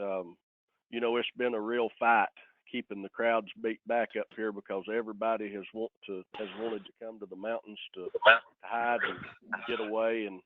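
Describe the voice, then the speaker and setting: Plain-spoken man on a tinny phone line. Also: fairly low pitch. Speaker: county sheriff. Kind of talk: informal remarks in a public meeting. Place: telephone conference call.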